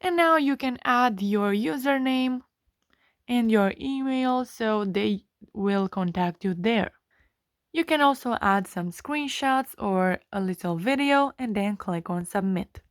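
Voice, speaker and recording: Woman doing a phone tutorial, highish voice, slow step by step, dry sound. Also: lively intonation, no echo. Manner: instructional, step by step. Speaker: tutorial host. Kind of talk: scripted how-to narration for a phone screen tutorial.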